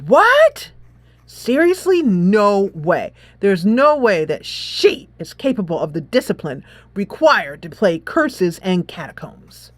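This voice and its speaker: A woman's voice: mid-range voice, very animated, slow, no echo. A woman reading a pompous, indignant teenage boy gamer in a video game, huffy and dismissive. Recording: music playing behind.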